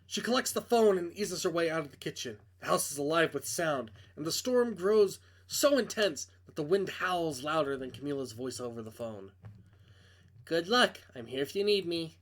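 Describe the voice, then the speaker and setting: Male player-narrator for a visual novel: dramatic reading, slightly high voice, animated intonation. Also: moderate pace, hardly any pauses. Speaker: video game player and narrator.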